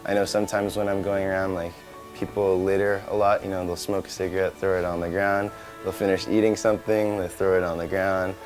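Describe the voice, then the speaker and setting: A man's voice: sits low, little pitch movement, fairly quick, a little mellow, soft background music. A young American man from California, founder of a small company, talking casually in an interview with many restarts and Indonesian words mixed in. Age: young adult.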